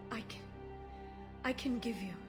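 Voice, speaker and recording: A woman voicing a gentle, earnest alien information broker in a video game, speaking sincerely and a little hesitantly. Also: a little high, a little slow, close to an everyday voice. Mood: shaken, tearful. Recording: loud music behind the voice.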